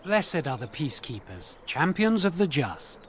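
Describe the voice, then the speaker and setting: Solemn game chanter: high, swooping, formal scripture recital, faint background noise. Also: male voice, sing-song, normal speed. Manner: declamatory, formal. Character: pious male chanter reciting holy verse, solemn.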